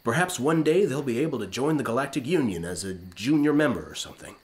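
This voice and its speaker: An actor playing a brash, self-satisfied starship captain in a comedic science-fiction radio play, glib and pompous. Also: male voice, medium pitch, animated, quick pace, natural voice. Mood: defensive.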